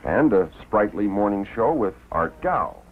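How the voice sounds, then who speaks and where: A man's voice: lowish voice, very animated, measured pace, husky. Tinny vintage American male documentary narrator. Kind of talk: 1950s promotional documentary narration. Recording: tinny old film soundtrack, faint music.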